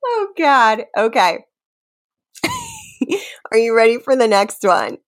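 Female podcast co-host, middle-aged, relaxed slow delivery, playful and giggly, casual banter with mock-dramatic readings. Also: on the high side. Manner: giggly, teasing. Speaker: podcast co-host running a guessing game.

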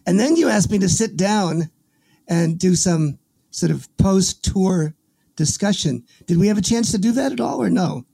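Gravelly, dark-voiced male podcast host. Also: high voice, normal speed, no echo. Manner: energetic, folksy, restarts words often. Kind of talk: casual interview with promo reads.

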